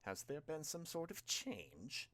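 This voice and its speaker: A man voicing a courteous, composed mist villain in an audiobook, speaking formally and deliberately. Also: fairly high pitch, big pitch swings, fairly bright tone, no echo, voice pushed up, full character voice. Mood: politely menacing.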